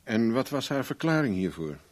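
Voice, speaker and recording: Man acting sharp sceptical detective in a radio drama, dry questioning manner, polite, middle pitched voice. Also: very animated, moderate pace, close to an everyday voice. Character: shrewd, probing male private investigator, dryly sceptical.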